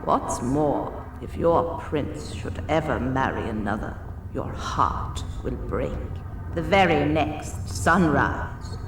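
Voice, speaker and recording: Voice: mid-range voice, lively intonation, slow pace, far from the mic, background noise. An actor voicing a scheming old female sea witch in a cartoon fairy tale, theatrical and sardonic, with a drawling delivery. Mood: menacing. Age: older. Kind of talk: animated fairy tale character acting. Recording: echoey, off-mic, music underneath.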